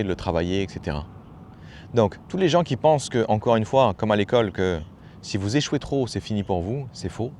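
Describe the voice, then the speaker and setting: A man's voice: on the low side, a little mellow, a little hiss or hum. A man giving a casual motivational talk as a personal development coach, familiar and slangy, hammering points home by repeating phrases.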